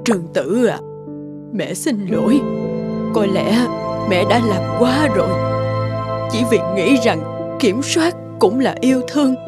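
A woman voicing an anxious, overprotective middle-aged mother in an animated moral tale, with a fretful, earnest manner. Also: mid-range voice, unhurried, pauses often, loud music behind the voice, close to an everyday voice. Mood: remorseful.

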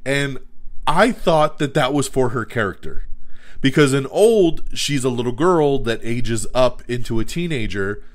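A man's voice: medium pitch, lively intonation, measured pace. A man hosting a podcast, giving a casual, frank and opinionated movie review that rambles with restarts.

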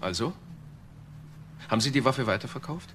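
An actor playing a blunt police detective in a crime drama, direct and insistent. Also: male voice, mid-range voice, expressive, normal speed, a bit bright, slight background noise, lightly acted. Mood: pressing.

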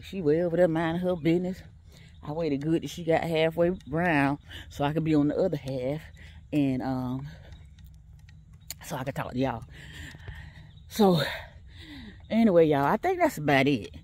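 A woman's voice: low voice, lively intonation, moderate pace, pauses often, mellow. A woman chatting casually to her viewers while she walks on an outdoor track, laughing often and talking straight to them. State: walking outdoors, a bit tired. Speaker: vlogger.

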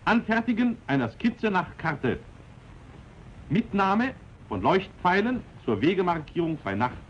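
A man narrates in a measured, instructive documentary manner. His voice sits fairly high and rises and falls widely in pitch. The recording is a dull 1940s film soundtrack with faint hiss. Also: big pitch swings.

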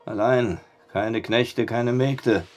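An actor playing a reluctant, money-minded small-town storyteller in a radio drama, with a folksy manner. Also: male voice, mid-range voice, takes it slowly, lightly acted. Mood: storytelling.

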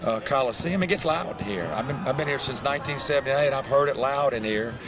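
Older man, basketball coach, halting speech, handheld mic, arena crowd behind. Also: mid-range voice. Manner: halting, many restarts and fillers. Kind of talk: post-game interview. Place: indoor basketball arena, courtside. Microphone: handheld reporter mic. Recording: crowd noise in a large arena.

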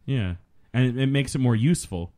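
A man's voice: medium pitch, fairly quick, dark tone. A man chatting casually on a film commentary podcast, explaining things in a rambling, geeky way.

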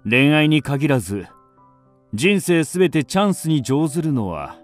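A man's voice: fairly high pitch, sing-song, unhurried, faint music behind. An actor playing a wry, lofty writer who narrates his youth in a literary radio drama. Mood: lofty, ironic.

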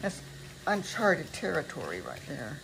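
A woman's voice: sits low, big pitch swings, measured pace. An older woman chatting casually and rambling a little as she talks through a craft demonstration at home in her kitchen. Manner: rambling, chatty, self-correcting. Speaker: craft tutorial host. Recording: clearly noisy home recording with clicks.

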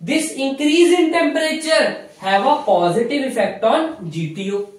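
A very reverberant room surrounds a young man with an Indian accent as he lectures, standing away from the microphone. His delivery is lively and melodic. He explains like a classroom teacher, repeating key phrases and asking questions as he goes. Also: very high-pitched, measured pace. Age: young adult. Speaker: physiotherapy teacher. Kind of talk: whiteboard lecture. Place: small indoor room in front of a whiteboard. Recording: echoey room, distant microphone.

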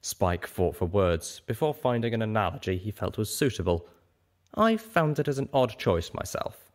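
Male narrator, low register, animated range, for scripted fiction reading. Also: normal speed. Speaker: audiobook reader and podcast host.

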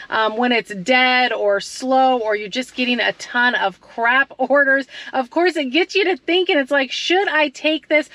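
A woman's voice: high-pitched, bright, forceful tone, no echo. A woman in her thirties chatting casually to camera from her parked car at night, often asking her viewers questions. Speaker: delivery driver vlogger. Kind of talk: casual vlog talk to camera. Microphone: camera mounted on dashboard.

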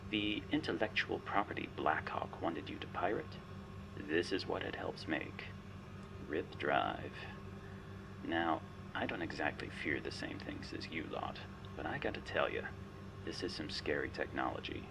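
A man's voice: a bass voice, vocal fry, noisy background, full character voice. A man playing a smug, menacing but playful artificial intelligence guiding a spy in an ASMR roleplay, wry, teasing and theatrical. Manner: wry, teasing, theatrical, often dropping to a whisper.

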